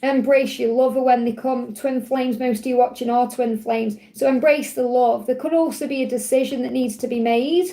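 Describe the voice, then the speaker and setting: A woman giving a live tarot love reading in gentle, repetitive, reassuring guidance. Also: fairly high pitch, steady tone, normal speed, few pauses. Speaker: tarot reader.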